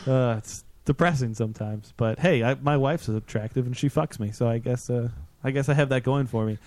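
A man's voice: medium pitch, brisk, a little mellow, no echo. A man in his late twenties hosting a podcast, rambling through crude, self-deprecating banter on a studio mic. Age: 20s. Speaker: podcast host. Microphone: studio microphone with headphones.

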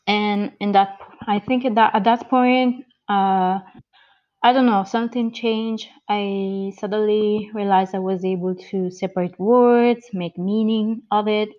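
Italian-accented woman in her 30s, slow and halting, lots of ums, bit muffled. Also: mid-range voice, steady tone. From born in the US, grew up in Italy. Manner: hesitant, many ums and restarts. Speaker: guest. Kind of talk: interview answers.

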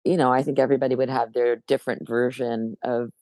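Gravelly low-voiced American woman, dry sound. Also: flat delivery, quick pace, warm tone, no room sound. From New Jersey, USA. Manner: reflective, hesitant, laughs often. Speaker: guest musician. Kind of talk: casual interview chat.

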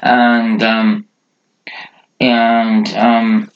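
A man's voice: fairly low pitch, completely flat delivery, extremely slow, highs cut off, a little hiss or hum. A man, a podcast co-host, chatting casually in a rambling, joking way with many restarts. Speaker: podcast co-host, multisport athlete. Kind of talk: casual chat.

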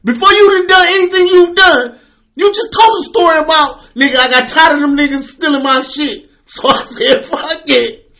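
A man's voice: extremely high pitch, normal speed. A man in his late forties from the US Midwest, co-hosting a podcast and telling blunt, preachy stories with plenty of profanity. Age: 40s. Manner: forceful, preachy storytelling, profane. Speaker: podcast co-host. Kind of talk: casual debate and storytelling.

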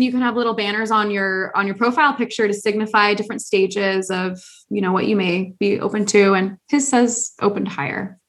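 A woman podcast host with a fairly high voice. She talks casually and with animation, rambling through her points with fillers and restarts. Her reactions come across as lively and exasperated. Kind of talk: casual chat.

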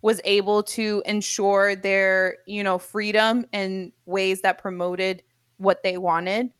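A woman's voice: mid-range voice, flat delivery, takes it slowly, clean tone, no room sound, studio quality. A young woman with a Cuban accent co-hosting a podcast, explaining things in casual chat. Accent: Cuban Spanish-influenced English. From Cuban heritage. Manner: explanatory, wraps up topics. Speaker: podcast co-host.

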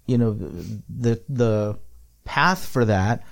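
A man's voice: on the low side, lively intonation, extremely slow, vocal fry. Chatty male podcast host, born in the Philippines. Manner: chatty, affirming, thinks aloud with repeats. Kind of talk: casual interview chat.

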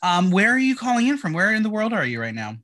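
A man's voice: high voice, big pitch swings, fast talker, fairly bright tone. A man hosting a podcast, chatting in an upbeat, casual way with small restarts. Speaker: podcast host. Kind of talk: casual interview. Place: home in New York.

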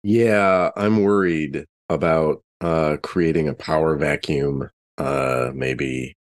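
Male tabletop player, extremely low voice, casual in-character talk, halting and repetitive delivery. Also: fairly even intonation. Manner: halting, repeats words as he thinks aloud. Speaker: player in a tabletop role-playing game.

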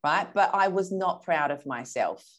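A woman's voice: fairly low pitch, lively intonation, moderate pace. An Australian woman in her early thirties tells her personal story as an interview guest, in a candid, self-assured, conversational way. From Perth, Australia.

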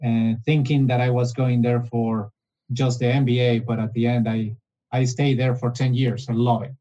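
A man's voice: medium pitch, level tone, normal speed, warm tone, dull audio. A man, talking as a guest in an interview, often restarting his phrases. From Argentina. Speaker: guest, business development director. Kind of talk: casual interview conversation.

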